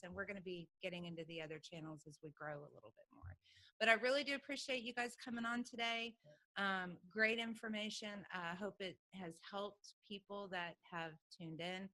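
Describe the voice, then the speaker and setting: Female podcast host, conversational interviewing, forward bright tone. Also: medium pitch, moderate pace.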